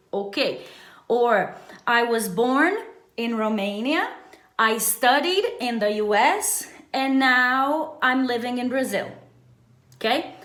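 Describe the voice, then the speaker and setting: A woman's voice: on the high side, fairly bright tone. Lady teaching a language casually, takes her time, keeps checking in, roomy echo on the sound. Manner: checks in often with short tag questions. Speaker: English teacher. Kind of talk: informal bilingual language lesson.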